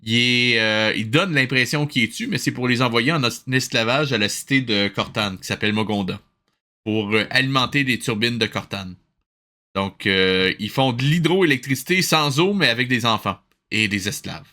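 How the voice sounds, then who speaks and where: A man's voice: medium pitch, moderate pace, bright, forceful tone. A man hosting a casual, humorous reaction commentary on a cartoon, with a wry, joking manner.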